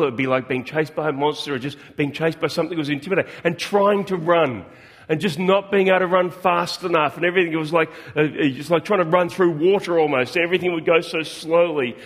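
A middle-aged man preaching a sermon in a relaxed, conversational way, with many fillers and restarts. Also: on the high side.

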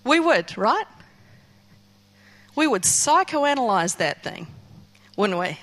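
A woman delivers a sermon with a high, clear voice. She preaches dramatically, throwing herself into the voices of the people she quotes. Her tone is bright and sharp throughout. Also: big pitch swings, takes it slowly. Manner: dramatic, acts out quoted voices with rising intensity.

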